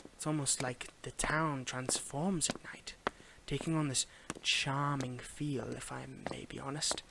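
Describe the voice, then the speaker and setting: Clear background noise sits under a man acting out a gentlemanly Victorian footman in an intimate audio roleplay. His voice is mid-pitched and gentle, sometimes sinking toward a whisper. He speaks with halting, formal politeness, weary yet flirtatious. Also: steady tone. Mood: charmed, flirtatious. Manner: formal old-fashioned phrasing, gentle, halting, lapsing into whispers.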